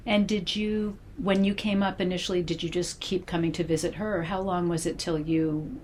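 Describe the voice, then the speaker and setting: A woman's voice: on the low side, fairly even intonation, normal speed, darkish tone, a little hiss or hum. A woman interviewing a local man for an oral history in a library, asking short, encouraging questions. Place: public library.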